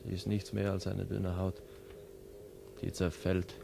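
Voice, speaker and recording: A man's voice: sits low, completely flat delivery, measured pace, gravelly, heavily acted. An actor playing an exhausted young man who is dying and fading, in a 1950s radio play with a hissy old recording. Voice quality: weary, fading, tender.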